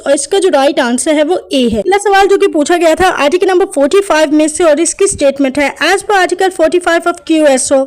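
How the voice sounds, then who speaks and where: High-voiced woman reading exam questions slowly. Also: a little mellow, low-quality recording. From Pakistan. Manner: slow, deliberate reading of legal text, brisker between questions. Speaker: online law tutor.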